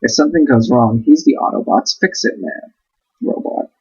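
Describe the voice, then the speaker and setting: A man's voice: mid-range voice, expressive, normal speed, husky, dull audio. A man reading a storybook aloud as host, with wry, playful asides.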